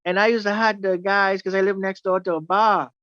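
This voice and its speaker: A man's voice: high-pitched, steady tone, fairly quick, fairly bright tone, dry recording. A man with a Bahamian accent spins loose, filler-laden stories about his life in music. The recording is dry and dull, with a muffled, lo-fi sound. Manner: rambling, many "you know" fillers. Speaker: jazz trumpeter, guest. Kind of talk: casual storytelling interview.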